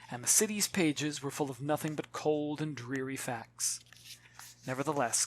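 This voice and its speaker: A man reading his own novel as an expressive audiobook, with dramatic, measured storytelling. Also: on the high side, dry recording. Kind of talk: expressive scripted audiobook narration.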